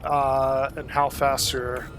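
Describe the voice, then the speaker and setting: Brief: man on live stream, recovering from a cold, mid-pitched, loose, rambling commentary. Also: level tone, slow, over music. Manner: rambling, many ums and uhs. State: getting over a cold. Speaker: game streamer.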